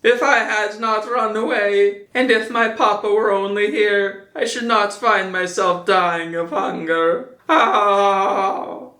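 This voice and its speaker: A man's voice: fairly even intonation, slow, few pauses, sharp tone, exaggerated voice. A man doing a whiny wooden puppet boy. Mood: despairing. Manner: high, whining and fretful. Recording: echoey room.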